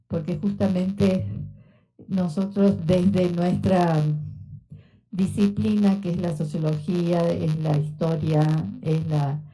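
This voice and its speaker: A woman's voice: on the low side, mellow, low-quality recording. A woman in her sixties from Argentina, whose accent mixes Argentine and Mexican Spanish, giving an informal, conversational lecture in a university auditorium with frequent fillers. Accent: Argentine Spanish with Mexican influence. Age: 60s. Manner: unhurried, conversational, many fillers. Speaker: researcher giving a talk.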